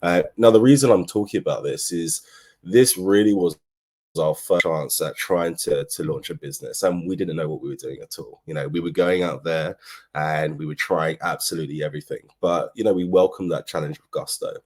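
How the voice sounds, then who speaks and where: A man's voice: low-pitched, fairly quick, a little mellow. A man with a London English accent giving an informal business presentation in a conversational, explanatory way. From London, England. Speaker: agency co-founder, speaker. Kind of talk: informal business presentation, telling own story.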